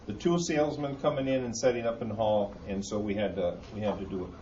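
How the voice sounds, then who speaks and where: A man's voice: fairly low pitch, fairly even intonation, mellow. Guy at a local meeting, repeats himself to make his point, dull roomy audio. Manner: halting, repeats words, insistent. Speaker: local board member. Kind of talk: informal meeting discussion. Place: meeting room. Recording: roomy, dull, distant recording with noise.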